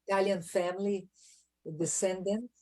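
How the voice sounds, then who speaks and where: A woman's voice: mid-range voice, level tone, normal speed, dark tone. A Brazilian woman singer with a Brazilian Portuguese accent, talking effusively in slightly broken English between songs. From southern Brazil. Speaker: guest singer. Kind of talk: casual chat between songs.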